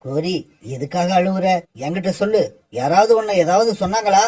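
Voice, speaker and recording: A loving cartoon crow husband with a high male voice, gently soothing his wife and fretting over her, voiced by a man. He pleads softly and earnestly. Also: moderate pace. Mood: consoling. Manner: gentle, anxious, consoling. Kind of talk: cartoon voice acting.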